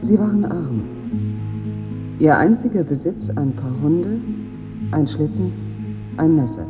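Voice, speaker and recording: A woman playing a grave storyteller in a radio play, delivering poetic narration with deliberate phrasing. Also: low voice, expressive. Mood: solemn. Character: solemn female storyteller telling a bleak legend. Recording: dull radio recording with guitar music.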